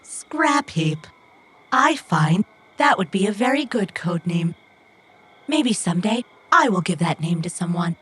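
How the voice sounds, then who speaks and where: A woman playing an earnest robot companion in an audio drama, literal-minded and brave though anxious, with stilted, formal, deliberate phrasing. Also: on the low side, very animated, fairly bright tone, slightly rough, heavily acted. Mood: musing.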